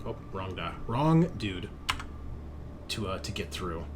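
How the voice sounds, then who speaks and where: A man's voice: low voice, sing-song, takes it slowly, noisy background. A man running a tabletop roleplaying game as game master, talking in a casual, conversational way.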